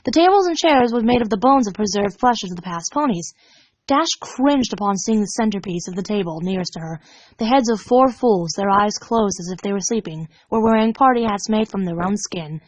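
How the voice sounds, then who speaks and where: A woman's voice: medium pitch, animated, fairly quick, darkish tone, dry recording, dull audio. A woman narrating fan fiction in a dramatic storytelling style.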